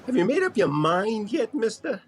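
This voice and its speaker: An actor playing an impatient, sarcastic and worn-out department store clerk in an old-time radio comedy. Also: male voice, high voice, very animated, normal speed, noisy background.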